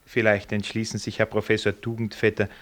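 An actor playing an urbane ministry official in a German radio play, courteous and dryly teasing. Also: male voice, on the low side, completely flat delivery, a little fast, natural voice. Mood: courteous, ironic.

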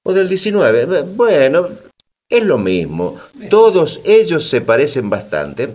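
An actor playing a sardonic storyteller and host in a satirical radio drama, colloquial and ironic with a mock-friendly manner. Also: male voice, a little high, wildly swooping pitch, takes it slowly, a little mellow, highs cut off, full character voice. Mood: mocking, playful. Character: wry, sardonic male storyteller who interrogates historical villains. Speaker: narrator and host.

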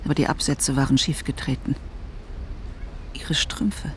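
A man's voice: medium pitch, fairly even intonation, measured pace, slight background noise. A man narrating a literary radio drama from a script.

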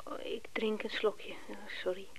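An actress playing a tender, attentive married woman in an intimate radio drama, speaking in a soft, breathy murmur. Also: medium pitch, little pitch movement, moderate pace, no echo, in a whisper, poor audio. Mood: tender, concerned.

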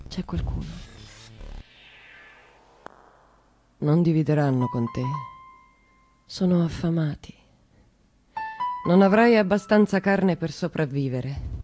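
An actress playing an anguished, trapped woman in a theatrical monologue, with a Roman accent and fragmented, repetitive delivery that moves between hushed and shouted. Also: low-pitched, measured pace, long pauses, soft background music. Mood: hushed dread.

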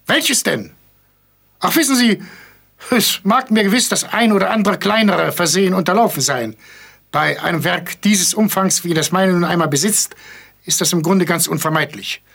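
An actor playing an elderly, hard-of-hearing author who talks in a pompous, rambling, absent-minded way in a radio play. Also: male voice, high-pitched, normal speed, gravelly, fairly bright tone, breathy edge, full character voice. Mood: pedantic, flustered. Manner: verbose, pedantic, absent-minded. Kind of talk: German radio play acting.

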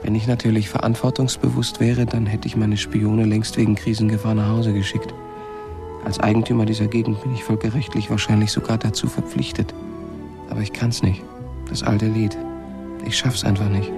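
Radio drama actor: easygoing, wry colony owner, colloquial rambler, lowish and creaky. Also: male voice, little pitch movement, moderate pace, music in the background, natural voice. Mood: indignant, resigned. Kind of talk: radio play acting, conversational monologue.

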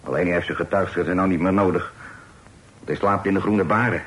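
A sly, self-assured pirate, played by a man in an old radio play. He speaks in a low voice, calm and unhurried, and peppers his talk with sea phrases. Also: lightly acted, poor audio. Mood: persuasive. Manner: calm, sly, full of sailor's sayings. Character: smooth-talking male pirate, bold and sly.

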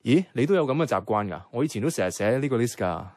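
A man with a Hong Kong Cantonese accent playing a caring, easygoing young flatmate in a radio drama, talking casually and earnestly. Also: mid-range voice, fast talker, no echo, studio quality, natural voice. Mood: earnest, reflective. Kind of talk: conversational radio drama dialogue. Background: background music.

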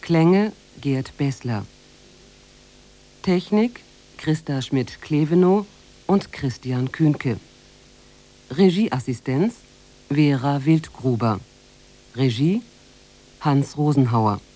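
Radio announcer, formal credits reading, mellow tone, unhurried. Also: medium pitch, lively intonation, rough recording.

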